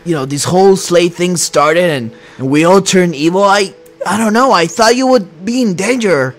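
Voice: mid-range voice, moderate pace, faint music behind, close to an everyday voice. A performer voicing an anxious, paranoid young male superhero leader in a Minecraft roleplay, worried and hesitant. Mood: concerned.

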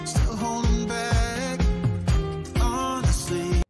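A woman's voice: fairly high pitch, monotone, extremely slow, darkish tone. A woman singing a pop song on a backing music track.

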